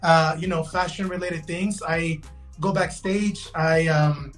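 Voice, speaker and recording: A man's voice: fairly high pitch, steady tone, slow, faint music behind. A young man from Guatemala, raised in Los Angeles, chatting as a guest on a video call, talkative, restarting sentences and saying "bro" and "man.". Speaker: guest, digital fashion designer. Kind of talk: casual interview chat.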